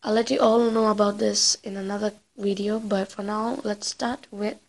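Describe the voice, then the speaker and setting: A woman's voice: mid-range voice, steady tone, normal speed, creaky. A woman talking casually as an amateur voice actor introducing her online video. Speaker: amateur voice actor presenting a voice reel.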